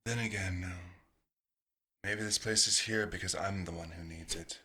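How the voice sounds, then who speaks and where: A man voicing a centuries-old vampire doctor, wistful and dryly wry, in a soft-spoken ASMR roleplay with a husky, breathy voice and halting, musing pauses. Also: sits low, fairly even intonation, exaggerated voice.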